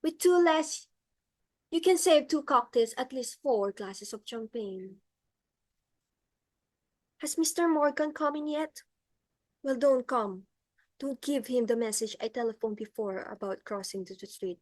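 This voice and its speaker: A woman's voice: fairly high pitch, unhurried, long pauses, close to an everyday voice. A woman playing a haughty, fretful society hostess in a stage comedy, exclaiming imperiously and melodramatically. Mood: brisk, bossy. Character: haughty, fretful society matron hosting a dinner party. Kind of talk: theatrical stage comedy acting.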